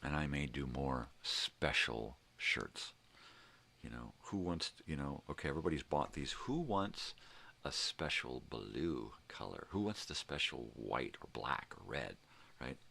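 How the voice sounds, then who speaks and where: An older man with a very low voice full of fry and gravel. He chats casually to a livestream audience, rambling and thinking out loud in a folksy, homespun way. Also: expressive, unhurried. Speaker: host of a daily livestream show.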